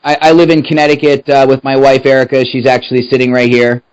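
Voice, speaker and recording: A man in his thirties, co-hosting a podcast from his house. He speaks earnestly and a little haltingly, repeating words as he finds them, on dull lo-fi audio. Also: mid-range voice, monotone, smooth. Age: 30s. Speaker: podcast co-host. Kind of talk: casual interview chat.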